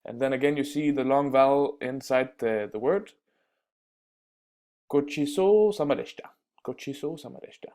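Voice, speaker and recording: A man teaching everyday phrases in a casual spoken lesson, pausing often with fillers and restarts. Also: mid-range voice, darkish tone. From Denmark. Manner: halting, many fillers and restarts. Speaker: language teacher.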